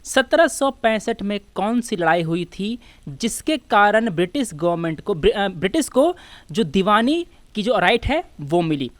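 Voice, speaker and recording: A woman's voice: mid-range voice, very animated, unhurried, dry recording. A woman with an Indian accent teaching an online exam-prep lesson, putting questions to viewers and repeating key words.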